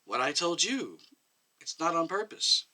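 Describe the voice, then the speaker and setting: Man voicing timid middle-aged woman, high-pitched. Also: sing-song, bright, forceful tone, full character voice, poor audio. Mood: meek, tearful. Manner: timid, halting, defensive. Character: nervous, apologetic middle-aged woman medic under questioning. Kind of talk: short story reading.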